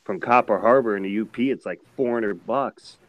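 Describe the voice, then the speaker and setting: Low-voiced joking man. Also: normal speed, dull audio, slight background noise. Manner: joking, teasing. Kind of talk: casual chat.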